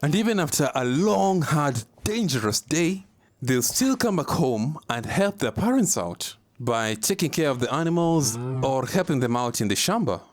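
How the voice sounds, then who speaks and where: A man's voice: fairly high pitch, dry recording. A young man from Kenya, telling a comedic scripted story in an informal, dramatic way. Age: young adult. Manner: animated, informal, dramatic pauses for emphasis. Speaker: storyteller, video host.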